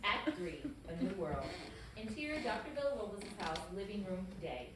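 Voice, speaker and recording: A woman's voice: medium pitch, very animated, normal speed. A woman reading out stage directions as narrator at a script table read. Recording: very echoey room, distant mic, noisy.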